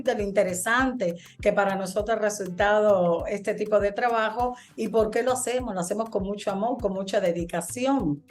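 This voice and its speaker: A woman's voice: fairly high pitch, darkish tone. A woman hosting a casual chat with a fellow realtor, talking in a friendly but hesitant way with many fillers. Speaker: host, realtor.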